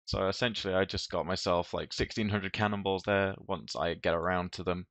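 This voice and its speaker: A man's voice: deep voice, steady tone, a little fast, fairly bright tone, no echo. A man who is a little ill, giving casual, rambling commentary over his gameplay, swearing now and then. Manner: rambling, offhand, with frequent restarts and swearing. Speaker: game video commentator.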